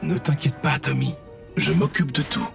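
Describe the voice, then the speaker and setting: A man voicing a calm, polite, formal house computer in a cartoon dub that turns controlling. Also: a little high, moderate pace, gravelly. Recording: music behind voice.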